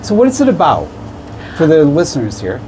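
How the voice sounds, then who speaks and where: A man hosting a casual interview, chatty and quick to chime in with agreement. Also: a little high. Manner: lively, chatty, frequent affirming interjections. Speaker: host. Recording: noisy recording.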